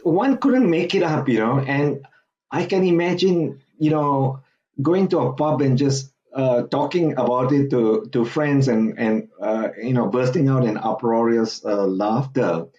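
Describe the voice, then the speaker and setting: A man from Singapore speaks slowly and haltingly, stopping often on fillers and repeating words as he builds his point. His voice sits at an ordinary pitch, and the remote recording sounds a bit dull and muffled. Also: dark tone. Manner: halting, many fillers and restarts. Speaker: guest commentator. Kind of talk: podcast interview.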